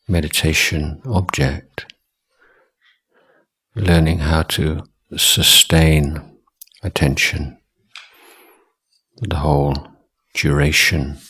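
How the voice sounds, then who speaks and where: A man with a husky voice giving guided meditation instruction as a teacher, speaking in short, deliberate phrases with long pauses. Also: very deep voice, fairly bright tone. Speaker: Buddhist monk, meditation teacher.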